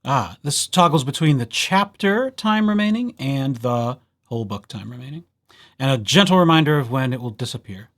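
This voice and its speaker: A man presenting a casual software tutorial as a library host, with playful, wry asides. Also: mid-range voice, expressive, normal speed. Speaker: library presenter hosting a digital services tutorial.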